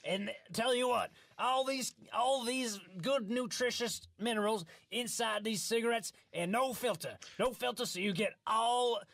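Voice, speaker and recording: A man playing an eager sidekick pitchman in a comedy infomercial, husky and high, stumbling and echoing his brother insistently. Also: measured pace, few pauses, a bit bright, heavily acted. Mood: eager bluffing. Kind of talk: mock infomercial sales pitch.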